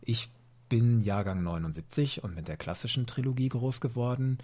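Heard first is a man in his forties with a husky voice, talking with easy enthusiasm as a podcast host. His pitch sits in a normal middle range, and he laughs often. The recording is dry and close. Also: lively intonation, normal speed, a little mellow, no echo. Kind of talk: casual interview chat.

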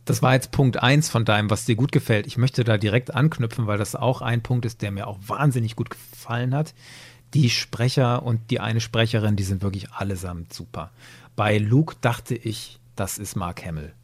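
A man's voice: on the low side, normal speed, no echo. An enthusiastic man chatting as a podcast co-host. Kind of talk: casual discussion. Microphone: close podcast microphone.

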